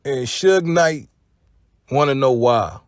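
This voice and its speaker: A man's voice: medium pitch, takes it slowly, no echo, studio quality. An American man hosting casual gossip commentary, speaking in profane slang and posing rhetorical questions. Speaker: commentary channel host.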